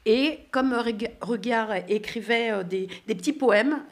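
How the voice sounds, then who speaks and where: A middle-aged woman, a voice actress and guest, telling anecdotes in casual chat, lively and self-mocking, laughing often. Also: a little high, measured pace.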